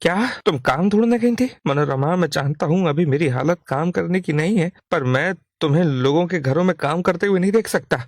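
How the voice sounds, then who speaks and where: An earnest everyday man played by a male actor in a cartoon moral tale. His voice is on the high side and emphatic, recorded very dry. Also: fairly quick, few pauses, no echo. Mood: concerned. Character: appreciative male customers and concerned husband, everyday men. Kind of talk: cartoon moral story acting.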